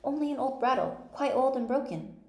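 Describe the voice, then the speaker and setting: A woman's voice: high-pitched, expressive, brisk, darkish tone, roomy sound, voice pushed up. A woman voicing a thoughtful, polite little storybook girl who is earnest and easily indignant. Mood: timid, polite. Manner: earnest, prim.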